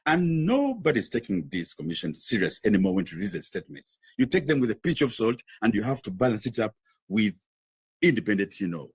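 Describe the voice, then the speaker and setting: Ugandan guy reporting in for a news chat, kinda husky voice, dry close sound, talks it through with lots of you knows. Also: on the low side, very animated, dry recording. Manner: measured, explanatory, with frequent fillers. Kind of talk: live news interview.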